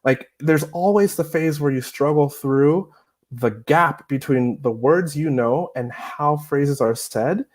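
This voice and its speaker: A man telling a lively, self-mocking story on a video call, acting out scenes, speaking through an earphone mic in a small room. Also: on the high side, slow pace. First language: not Chinese. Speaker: Chinese teacher, guest.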